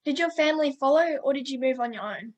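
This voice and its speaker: A woman's voice: high-pitched, expressive, fast, highs cut off. A woman hosting an interview podcast, upbeat and friendly, asking questions and calling her guest mate. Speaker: podcast host.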